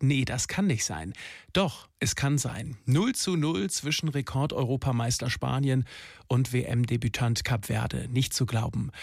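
A man's voice: medium pitch, a little mellow, no echo. Male sports presenter, very dry sound. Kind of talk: scripted sports news presenting.

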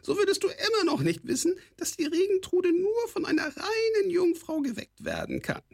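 A squawking spiteful goblin. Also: male voice, very high-pitched, huge pitch swings, normal speed, creaky, voice pushed up, exaggerated voice. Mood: sly. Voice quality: squawky, nasal. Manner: mocking, gloating. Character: sly squawking male goblin, small and spiteful. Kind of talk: audiobook character voice.

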